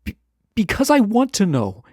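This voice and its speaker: A man playing a lovesick, proud fantasy ruler in a romantic audio drama, speaking formally, earnestly and with hesitant pauses. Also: high voice, wildly swooping pitch, a little mellow, no room sound, clean studio sound, heavily acted. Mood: exasperated, insistent. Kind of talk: scripted romantic audio roleplay, close and intimate.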